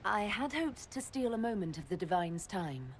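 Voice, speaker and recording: A woman playing a composed, diplomatic leader, polite and measured, in a video game cutscene. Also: medium pitch, very animated, a little hiss or hum, close to an everyday voice.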